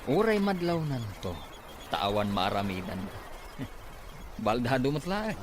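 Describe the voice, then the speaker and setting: A man's voice: mid-range voice, big pitch swings, slow pace, background noise. A man with a Filipino accent playing a scheming, gloating villain after money in an Ilocano radio drama. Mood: smug.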